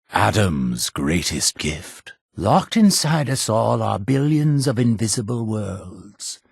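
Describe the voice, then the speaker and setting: An actor playing a solemn, fervent cult high priest in a video game, speaking in a grandiose, formal, preaching manner. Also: male voice, vocal fry, slightly rough, no room sound, clean studio sound, full character voice. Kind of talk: scripted video game character dialogue.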